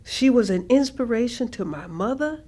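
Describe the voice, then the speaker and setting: Actress doing a thoughtful grandma recalling family stories, slightly high voice, measured and earnest, staged reading. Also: female voice, expressive, dark tone. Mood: wry, proud. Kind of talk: staged reading of oral history.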